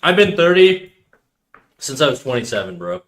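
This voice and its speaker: A man's voice: mid-range voice, very animated, normal speed, a bit bright. A man of thirty chatting casually on a live stream, rambling in slang and reacting to chat messages. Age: 30s.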